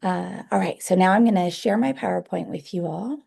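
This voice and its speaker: A hoarse woman presenting a talk over a video call, speaking carefully to be understood. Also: fairly low pitch, darkish tone. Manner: careful, deliberate delivery. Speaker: guest presenter.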